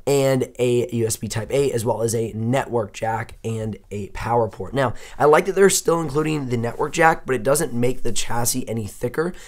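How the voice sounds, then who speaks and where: Hoarse young male tech reviewer, mid-range voice, chatty and upbeat while unboxing. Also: medium pitch. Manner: chatty, upbeat, thinks aloud while handling gear. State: hoarse, losing his voice. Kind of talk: casual unboxing talk.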